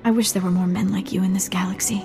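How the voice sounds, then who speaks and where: Woman as a soft, coy young damsel. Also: medium pitch, steady tone, fast talker, vocal fry, soft background music, close to an everyday voice. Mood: coy gratitude. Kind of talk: video game voice acting.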